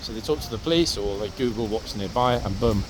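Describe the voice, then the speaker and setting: A man's voice: on the low side, fairly quick. Chatty man vlogging on a noisy street. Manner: chatty, addressing viewers directly. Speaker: vlogger and city walk host. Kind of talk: casual walking commentary to viewers. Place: outdoors on busy city streets, walking. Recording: clear street traffic noise outdoors. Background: traffic and urban outdoor ambience.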